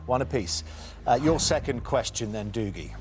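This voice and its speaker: Guy hosting a quiz show, chatty with lots of uhs, mid pitch. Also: animated, moderate pace, a little mellow, a little hiss or hum. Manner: chatty, jokey, with frequent fillers.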